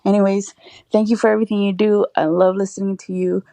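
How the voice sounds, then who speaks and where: A woman's voice: medium pitch, flat delivery, fast, dark tone, no echo. An American woman from Oklahoma leaving a casual voicemail message for a show. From Oklahoma, United States.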